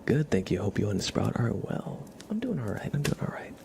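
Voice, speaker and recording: A man speaks softly to his livestream viewers in a breathy ASMR whisper. His voice sits low and often crackles with vocal fry. He rambles along casually and without hurry, as a host chatting with his regulars. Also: sing-song, a little fast. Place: room at home with a window.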